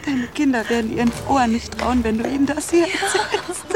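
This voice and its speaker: An actress playing a bold, playful female fast-food worker who coaxes eagerly in a radio drama, with music underneath. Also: sits high, animated. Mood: fascinated.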